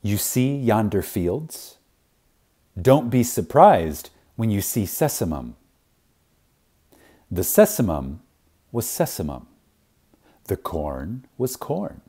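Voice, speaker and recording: A man reading a spiritual lecture like a sermon, reverent and emphatic, with deliberate pauses for effect. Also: lowish voice, dark tone, husky.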